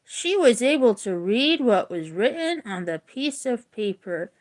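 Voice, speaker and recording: A woman teacher reading a story aloud expressively and deliberately, with casual explanatory asides. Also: on the high side, big pitch swings.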